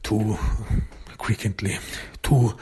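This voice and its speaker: A man whose first language is German, hosting his own podcast as an author and talking casually on his own, in a halting way with many restarts. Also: on the low side, fairly even intonation, warm tone, slightly rough. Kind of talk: casual solo podcast monologue.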